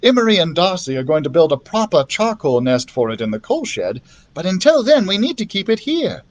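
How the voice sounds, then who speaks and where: A man voicing an earnest young girl with a light, gentle manner. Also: high voice, very animated, fairly quick, pitched above the performer's own voice. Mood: reassuring.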